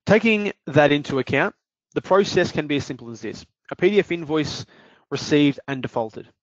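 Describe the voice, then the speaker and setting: A man presenting and walking through a software demonstration in a steady explanatory way, with occasional fillers. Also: fairly high pitch, normal speed, dry recording. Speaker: product presenter. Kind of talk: software demo walkthrough. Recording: dull dry lo-fi audio.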